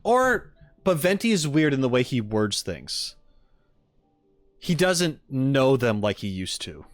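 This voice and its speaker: A man's voice: medium pitch, very animated, slow pace, soft background music. A man streaming in a casual chat style, hesitant as he thinks aloud.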